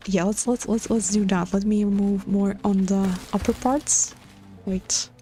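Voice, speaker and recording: A woman's voice: mid-range voice, fairly even intonation, measured pace, warm tone, soft background music. A woman from Latvia with a Latvian accent, chatting casually on a live stream while she builds in a game, hesitant and full of filler sounds and restarts.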